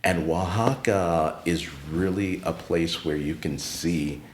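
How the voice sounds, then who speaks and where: A middle-aged American man, a podcast guest and retired teacher, telling stories of his life in an unhurried, reflective way that turns lively when he recounts encounters. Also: deep voice, a little hiss or hum. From United States. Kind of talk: casual interview storytelling. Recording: roomy echoey room.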